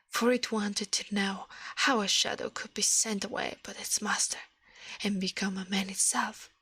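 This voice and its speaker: A woman reading a story aloud in ASMR style, in a soft breathy whisper, halting now and then over the words. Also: medium pitch, no room sound. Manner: halting reading with stumbles and self-corrections.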